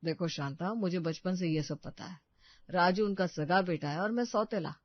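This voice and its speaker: A man's voice: high-pitched, moderate pace, no echo. Weary, conflict-shy young husband acted by a man, dismissive, slightly muffled dry recording. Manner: dismissive, placating. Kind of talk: Hindi family drama storytelling.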